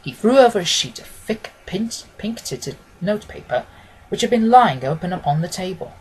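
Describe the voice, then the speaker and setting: A man's voice: sits high, sing-song, moderate pace, a little hiss or hum, rough recording. A man reading scripted literary narration as an audiobook narrator.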